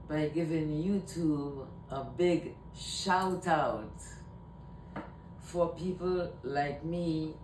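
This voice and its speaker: A woman from Trinidad and Tobago with a Trinidadian accent, talking casually to camera in a rambling way and often repeating herself. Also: mellow. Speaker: vlogger. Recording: echoey room, mic at a distance, faint rumble.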